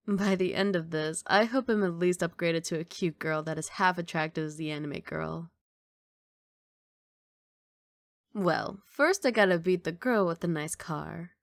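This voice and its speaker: A woman's voice: lowish voice, dry recording, clean studio sound, close to an everyday voice. A woman playing a confident, doting young mafia boss girlfriend in an intimate, teasing ASMR romantic roleplay. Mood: playful teasing. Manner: playful teasing, intimate one-sided conversation.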